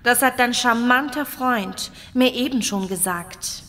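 Actress as curt, bright-voiced irritable woman. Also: a little high, unhurried. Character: curt, irritable woman, sarcastic and easily offended. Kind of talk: German horror audio drama acting.

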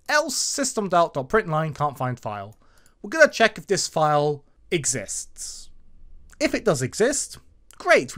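A man's voice: a little high, a bit bright. A man hosting an informal step-by-step tutorial, explaining things in an emphatic, sing-song way. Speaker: tutorial host.

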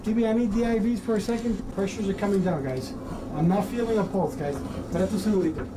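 A man's voice: sits high, a little fast, background noise, natural voice. An actor playing an emergency doctor giving orders to his team while treating a bleeding patient. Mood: urgent. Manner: calm, commanding, switches languages.

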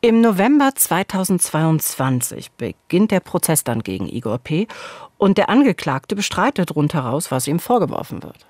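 A woman in her forties co-hosting true crime, telling it conversationally but with suspense and emphatic pauses, on a studio microphone. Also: fairly low pitch, very animated, darkish tone, dry recording. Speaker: true-crime podcast co-host. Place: dark radio studio. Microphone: studio microphone on boom arm, headset monitoring.

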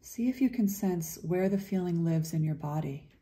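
Very mellow, low-voiced woman guiding meditation. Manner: gentle, unhurried, with long pauses. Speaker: meditation guide. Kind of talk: guided meditation, slow and soothing.

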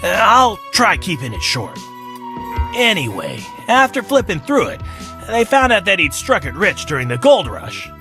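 A man's voice: high-pitched, wildly swooping pitch, normal speed, over music. An actor playing a chatty, teasing old male store attendant in a visual novel, with folksy colloquial speech. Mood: teasing, storytelling.

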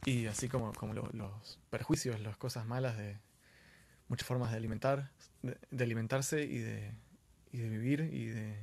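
A man's voice: mid-range voice, steady tone, moderate pace, vocal fry, a little mellow. A man with an Argentine Spanish accent, talking casually and earnestly as the host of a live stream, often repeating words for emphasis.

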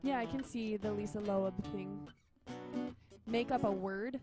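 A woman's voice: mid-range voice, measured pace, music in the background. A young woman who sings in a band, chatting with viewers between songs in a bubbly, giggly way. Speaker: band singer hosting a livestream. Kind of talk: casual chat between songs. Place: indoor rehearsal room with drum kit. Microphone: handheld microphone.

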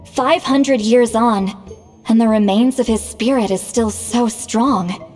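A woman's voice: a little high, slow pace, darkish tone, faint music behind. A proud young shaman, reverberant. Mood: grave, explaining. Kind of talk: scripted video game dialogue.

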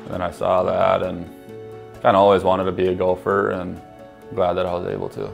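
A man's voice: low-pitched, completely flat delivery, brisk, gravelly, lo-fi sound. A young man with an American Upper Midwest accent, speaking casually in an interview in a matter-of-fact, understated way. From rural southern Minnesota, USA. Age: young adult. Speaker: college hockey player. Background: guitar music bed.

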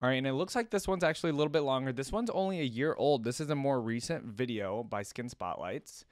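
A man's voice: mid-range voice, animated, fast talker, no echo, clean studio sound. A young man hosting a reaction video, talking casually and curiously as he comments along. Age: young adult. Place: indoor room at a desk, gaming chair. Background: faint music and game audio.

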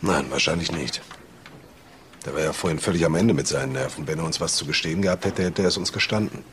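An actor playing a shrewd, composed male detective with a dry, deliberate manner in a crime audio drama. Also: rumbling low, a bit bright, a little hiss or hum. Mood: dry, pensive. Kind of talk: naturalistic German radio drama acting.

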